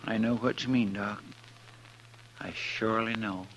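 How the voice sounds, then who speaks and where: An actor playing an earnest, humble, stammering deputy sidekick with a folksy rural American accent in a 1950s radio Western. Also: male voice, lowish voice, steady tone, a little slow, a bit bright. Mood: tender. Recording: dull vintage radio recording, faint music.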